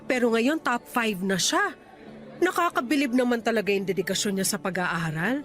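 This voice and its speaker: A woman playing a doting Filipino mother in a radio drama, chatty and gushing with admiration as she gently compares her child. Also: a little high, animated, normal speed, faint music behind. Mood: admiring.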